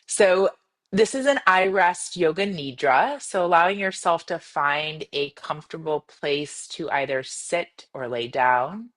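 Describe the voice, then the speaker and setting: A woman leading a guided meditation in a gentle, soothing manner, speaking in measured phrases with long pauses. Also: low-pitched, expressive. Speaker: yoga nidra meditation guide.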